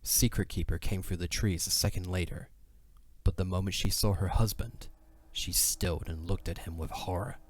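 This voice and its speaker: A man's voice: sits low, normal speed. A man narrating an audio drama as a scripted story. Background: faint music.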